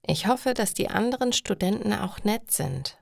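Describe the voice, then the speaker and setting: A woman voicing a cheerful, contented young female student in a storybook, with slow, friendly phrasing. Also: medium pitch, expressive, creaky, no echo, studio quality, voice pushed up.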